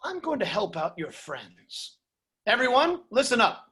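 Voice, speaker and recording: A woman's voice: on the high side, very animated, measured pace, fairly bright tone, husky, heavily acted. A woman acting a tipsy, gushing male Hollywood studio boss in a table read, effusive in manner.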